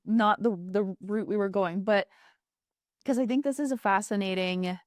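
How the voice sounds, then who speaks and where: A woman's voice: mid-range voice, normal speed, no room sound, clean studio sound. A woman podcast host talking in a chatty, rambling way with many filler words. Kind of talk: casual podcast conversation.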